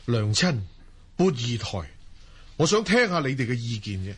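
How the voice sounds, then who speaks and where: Man playing a very husky young Mongol chieftain, Cantonese. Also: mid-range voice, sing-song, normal speed, fairly bright tone. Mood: troubled, decisive. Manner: earnest, emphatic, dutiful. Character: earnest, resolute young Mongol chieftain, deferential to his elder. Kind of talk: Cantonese audio drama acting.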